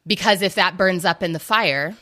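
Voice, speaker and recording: Deep, bright-voiced woman explaining methodically. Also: moderate pace. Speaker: podcast co-host giving advice. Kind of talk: conversational practical advice.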